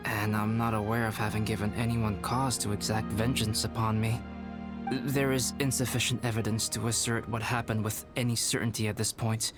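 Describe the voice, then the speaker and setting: A composed young alchemist speaks with measured, formal courtesy in a slightly low voice , as a man voices him. Game music plays clearly beneath. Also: little pitch movement, close to an everyday voice. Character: calm young male alchemist, composed scholar.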